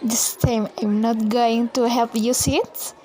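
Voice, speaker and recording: A woman's voice: on the high side, steady tone, slow, warm tone, a little hiss or hum, poor audio. A woman playing a gloating, spiteful schoolgirl bully in a student radio drama, speaking in a taunting way.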